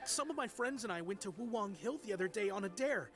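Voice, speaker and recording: A woman's voice: mid-range voice, lively intonation, fast, lightly acted. A woman voicing a timid, frightened young villager in a video game, hesitant and nervous. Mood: fearful.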